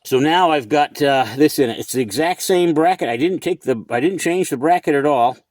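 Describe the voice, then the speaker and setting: A man's voice: on the high side, a little fast, no echo, clean studio sound. A middle-aged or older man from the United States giving a casual, rambling vlog demonstration inside his pickup truck, often repeating himself. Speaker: hobbyist showing his CB radio.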